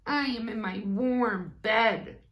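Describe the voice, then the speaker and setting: A woman voicing a reluctant, grumpy, easily frightened male storybook toad with emphatic, drawn-out delivery. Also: a little high, a bit bright, pitched above the performer's own voice. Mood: grumpy, stubborn. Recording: roomy echo.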